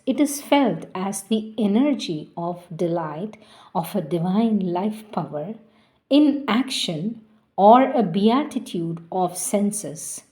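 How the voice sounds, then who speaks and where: A woman giving a slow, reverent reading of a spiritual text, her phrases trailing off softly. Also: fairly low pitch. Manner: measured, reverent, trailing softly at line ends. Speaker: devotional reader.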